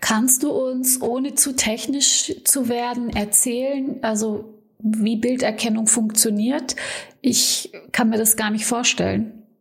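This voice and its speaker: A woman's voice: a little high, fairly even intonation, slow pace. A woman hosting a business podcast, asking her guest questions in a friendly, curious way. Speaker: podcast host. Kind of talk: interview hosting.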